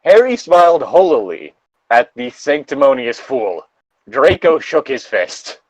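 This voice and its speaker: A man's voice: medium pitch, very animated, a little slow, no echo. A man reading fan fiction aloud with casual commentary and wry, joking asides, recorded close on slightly lo-fi audio. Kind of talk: casual comedic commentary and read-along.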